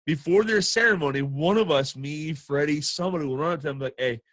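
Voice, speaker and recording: A man hosting a casual chat, telling stories in a jokey, animated way and prone to laughing. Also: a little high, fast, dry recording. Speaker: podcast host and military veteran telling stories.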